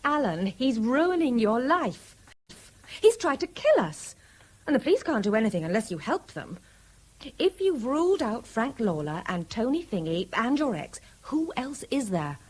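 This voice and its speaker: An actress playing a sharp-minded, protective, indignant woman with a British accent, insistent and probing, in a radio drama. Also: a little high, big pitch swings, normal speed, husky.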